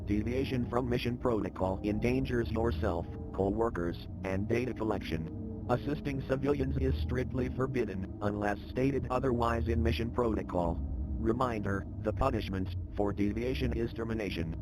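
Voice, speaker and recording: A man plays a stern, impersonal narrator on an agency training tape in a horror game. The instructions are formal and clipped, delivered as scripted narration. Clear noise and ambience run behind the voice. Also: medium pitch, normal speed, lightly acted.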